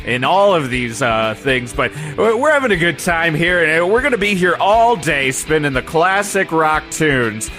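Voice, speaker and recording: A man's voice: fairly high pitch, pushed and bright, full character voice. A man playing a folksy, eager radio reporter, rambling through huckster patter on a parody live remote from a car dealership. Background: music with guitar behind the voice.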